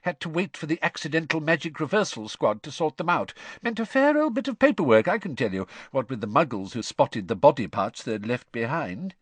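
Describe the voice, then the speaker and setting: A male reader performs a kindly middle-aged British wizard dad from a storybook. His voice is raised and swooping, a little husky, as he eagerly explains things in fussy detail. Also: on the high side, big pitch swings, brisk, hardly any pauses, no room sound, voice pushed up. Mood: chatty, informative. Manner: eager, chatty, matter-of-fact explaining.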